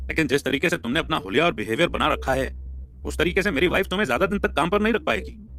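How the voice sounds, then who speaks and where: A man's voice: fairly high pitch, lively intonation, fast, gravelly, sharp tone, heavily acted. A man voicing a stern middle-aged father-in-law and boss, grave and admonishing, in an audio story.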